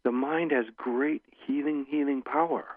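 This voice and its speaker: A man in his sixties, a guest author speaking calmly and leading a slow guided meditation. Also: medium pitch, dry recording. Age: 60s. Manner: slow, soothing guiding voice. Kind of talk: guided meditation and interview talk. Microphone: phone call. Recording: tinny phone line.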